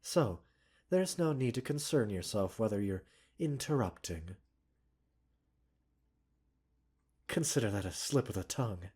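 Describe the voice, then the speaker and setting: A man playing a gentle, composed pharmacist doctor in an ASMR roleplay, speaking softly and soothingly in polite, formal phrases with mild chiding and teasing. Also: on the low side, expressive, normal speed, husky. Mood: reassuring, wry. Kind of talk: ASMR roleplay audio drama.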